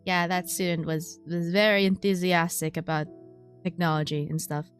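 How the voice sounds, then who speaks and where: Female streamer reading over soft music. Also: on the low side, fairly even intonation, normal speed. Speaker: streamer, VTuber. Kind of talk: game playthrough, reading story text aloud with casual commentary. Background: game music.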